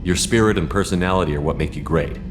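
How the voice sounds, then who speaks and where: A man playing a quick, deep-voiced commander. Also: lightly acted. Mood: level, firm. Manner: direct, level questioning. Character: steady human male military commander. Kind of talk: video game dialogue. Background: game music.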